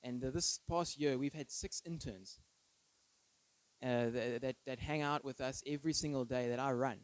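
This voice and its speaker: A man's voice: mid-range voice, steady tone, normal speed, no room sound. A thirty-year-old man giving an informal, conversational sermon with personal stories, often checking in with listeners through short tag questions. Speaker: preacher.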